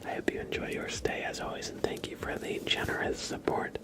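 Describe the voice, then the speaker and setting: A man whispering softly and breathily as an ASMR livestream host, chatting informally and directly to his viewers. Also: brisk. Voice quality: soft, breathy whisper with some creak.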